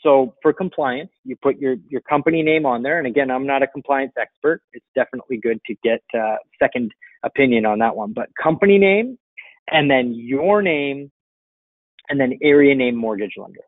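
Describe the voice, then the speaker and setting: A man's voice: medium pitch, expressive, moderate pace, no room sound. Middle-aged man hosting webinar, dull lo-fi sound. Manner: informal, conversational, explanatory. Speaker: webinar host and marketing coach. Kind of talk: casual webinar question-and-answer. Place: indoor room, seated at a computer. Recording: dull, dry lo-fi webinar audio.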